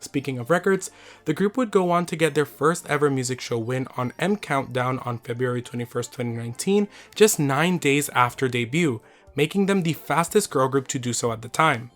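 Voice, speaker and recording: Male video essay host, a little raspy, upbeat scripted read. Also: mid-range voice, expressive, moderate pace, a bit bright, soft background music. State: slightly raspy, losing voice.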